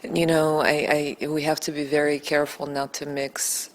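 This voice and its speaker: A native Bulgarian woman answering interview questions as a documentary director, earnest, deliberate and emphatic. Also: low voice, flat delivery, poor audio.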